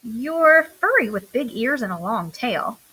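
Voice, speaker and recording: A woman's voice: fairly high pitch, sing-song, unhurried, bright, forceful tone, breathy edge, heavily acted, rough recording. A woman voicing a playful, bluffing male prickly little creature in a children's storybook, with boastful, theatrical declarations. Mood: playful, curious.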